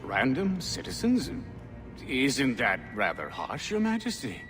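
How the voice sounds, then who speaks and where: A man's voice: mid-range voice, wildly swooping pitch, unhurried, music in the background. An actor playing a nervous elder statesman in a video game, hesitant and obsequious before his ruler. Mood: uneasy. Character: nervous, deferential elder statesman under a tyrant. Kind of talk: video game cutscene acting.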